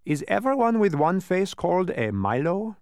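A man's voice: high-pitched, very animated, moderate pace, a little mellow, clear, resonant tone, no room sound, studio quality, pitched above the performer's own voice. A man voicing a polite, puzzled young boy in a storybook, hesitant and questioning.

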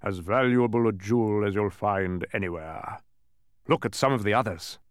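A man's voice: lowish voice, clear, resonant tone, no echo, studio quality, natural voice. A man voicing a proud, boastful wizardly mathematician in a grand, emphatic manner for a storybook. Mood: assured. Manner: bellowing, grand, slow emphatic proclamations.

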